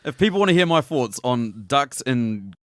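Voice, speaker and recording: A man's voice: medium pitch, very animated, moderate pace, dry recording. A man with a New Zealand accent co-hosting a film podcast, bantering casually with crude, sweary teasing.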